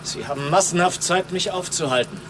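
A man's voice: high voice, brisk, background noise, lightly acted. Weary, resigned man on the run played by an actor. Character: weary, fatalistic male friend on the run. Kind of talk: German radio play acting.

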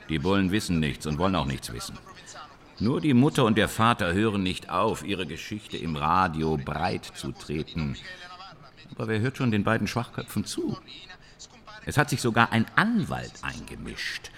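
An actor in a German radio crime drama playing a callous Sicilian mafioso with a matter-of-fact, conspiratorial manner. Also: male voice, low voice, sing-song, normal speed, a bit bright, in a large hall, exaggerated voice. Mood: smug, assured.

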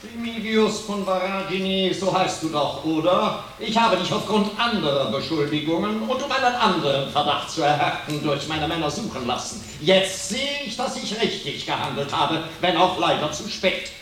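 Stern calculating inquisitor for radio play: formal, measured, fairly high male voice, distant in a very echoey hall. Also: expressive, fairly bright tone, a little hiss or hum. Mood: accusing, smug. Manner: measured, ceremonious, pointed. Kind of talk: radio drama acting.

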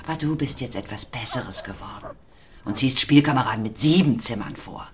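A woman's voice: a bass voice, expressive, fairly quick, slight background noise. An actress playing a blunt, eccentric, middle-aged working-class mother of a teenage boy in a radio play, earthy and commanding. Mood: challenging, bossy. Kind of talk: German radio play acting.

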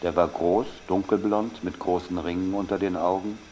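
A man playing an aging Algerian who confesses an old killing in a brooding, deliberate literary monologue. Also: deep voice, fairly even intonation, dark tone, a little hiss or hum, natural voice. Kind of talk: literary monologue, dramatic audio narration.

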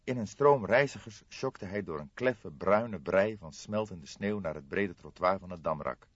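A male audiobook narrator reads literary prose in a low voice with lively intonation. The recording is slightly dull and roomy. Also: unhurried, breathy edge.

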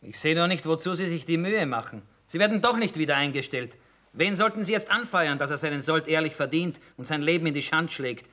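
An actor playing a cocky, needling army cook in a radio drama, argumentative and colloquial. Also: male voice, a little high, a little fast, pushed and bright. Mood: indignant. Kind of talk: German radio drama acting.

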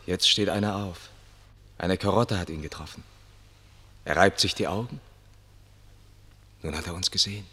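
A man's voice: low-pitched, moderate pace, pauses often, sharp tone, breathy edge, heavily acted. An actor in a German radio play as a watchful, deferential attendant to a blindfolded statesman, reporting observantly what is seen.

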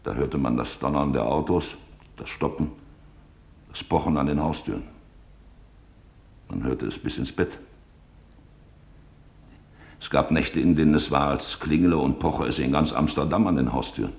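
An actor playing an office employee, giving measured, sober testimony about wartime events in a radio documentary drama. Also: male voice, rumbling low, gravelly, darkish tone. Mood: grave, troubled.

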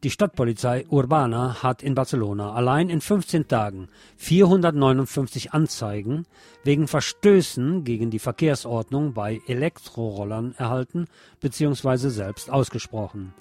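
A man reads radio news in a sardonic, opinionated way, adding his own commentary as he goes. His voice is mid-pitched, and music plays faintly behind him. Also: lively intonation, normal speed, a little mellow, no echo. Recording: music bed under the voice.

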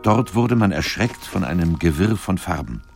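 A man reading scripted documentary narration in a measured, articulate storytelling manner. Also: low voice, expressive, gravelly, a little mellow, soft background music.

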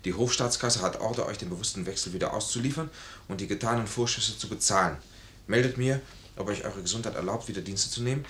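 An actor playing a proud, ardent army major in a 1950s German radio play, with earnest, formal stage diction. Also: male voice, fairly low pitch, quick pace, a bit bright, close to an everyday voice. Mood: measured, reading. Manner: earnest, theatrical, formal classical phrasing. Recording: noisy 1950s radio recording.

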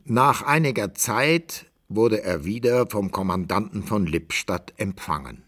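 A man as the narrator of a radio drama, telling an old adventure tale in a measured literary way with deliberate pauses. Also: fairly low pitch, expressive, a bit bright.

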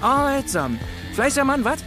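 A man's voice: very high-pitched, sing-song, normal speed. An actor playing a bitterly mocking Berlin working man in a radio drama, with a local accent and a sneering, colloquial delivery. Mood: sarcastic. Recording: music behind the dialogue.

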